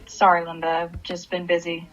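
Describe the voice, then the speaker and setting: A woman's voice: on the low side, monotone, normal speed, a bit bright, dull audio, natural voice. A woman playing a troubled, grieving divorced mother in a radio play, subdued and brooding. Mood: guarded.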